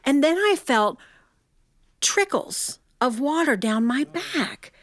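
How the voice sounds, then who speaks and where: A middle-aged woman from the United States performs humorous personal stories for a live audience. Her voice is slightly high and recorded dry. She is folksy and confiding, and uses dramatic pauses. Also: animated, dry recording. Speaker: storyteller.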